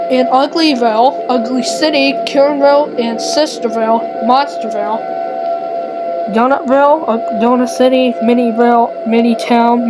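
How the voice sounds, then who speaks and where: A woman with a fairly high voice acts as an emergency alert announcer in a homemade style. She recites in a halting way, tripping on words and correcting herself, with music clearly heard in the recording. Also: poor audio. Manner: stumbling, often self-correcting, reciting place lists.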